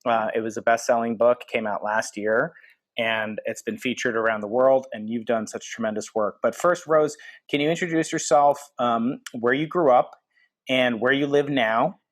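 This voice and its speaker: A man's voice: medium pitch, steady tone, moderate pace. A man hosting a podcast interview, speaking earnestly with many fillers and restarts. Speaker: podcast host.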